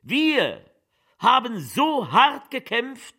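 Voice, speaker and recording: An actress playing a solemn, stirring political leader who declaims a theatrical speech in weighty, measured phrases. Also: female voice, on the high side, very animated, bright, forceful tone, husky, exaggerated voice. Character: female political leader, solemn, stirring, coldly militant orator.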